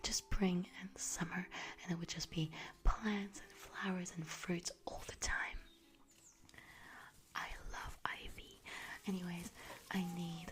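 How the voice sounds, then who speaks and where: A woman playing an anxious, scatterbrained mother goddess in an ASMR roleplay, speaking in a halting, rambling, breathy whisper and repeating herself. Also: lowish voice, flat delivery. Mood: musing, fretful. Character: anxious, scatterbrained Greek harvest goddess, mother seeking her daughter.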